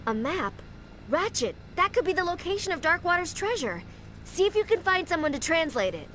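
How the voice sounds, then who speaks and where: A woman's voice: very high voice, sing-song, a little fast, fairly bright tone, a little hiss or hum, heavily acted. An actress playing an earnest, urgent female adventurer ally in a video game. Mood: urgent, concerned. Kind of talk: video game character acting.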